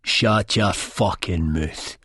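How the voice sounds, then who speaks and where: Man acting a menacing, husky Scottish thug. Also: mid-range voice, a little slow, no room sound, studio quality, voice pushed up. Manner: snapping, bullying, sneering. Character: menacing, foul-mouthed young Scottish thug.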